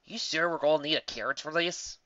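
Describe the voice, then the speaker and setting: A man's voice: fairly high pitch, brisk, bright, forceful tone, dry recording, lo-fi sound, pitched above the performer's own voice. A man voicing a practical, folksy female farm pony in a story reading. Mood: doubtful.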